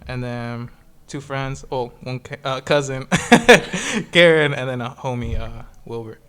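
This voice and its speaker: A man's voice: medium pitch, unhurried, fairly bright tone, clear, resonant tone. A man of twenty-one hosting a casual podcast, loose and jokey, laughing easily. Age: 20s. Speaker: podcast host.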